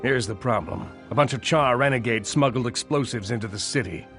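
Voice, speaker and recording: Male video game commander, acted, mid-pitched, clipped military orders, urgent and embattled, faint music behind. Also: brisk, fairly bright tone, close to an everyday voice. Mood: grim, urgent. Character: urgent human military commander under siege. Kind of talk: video game cutscene acting.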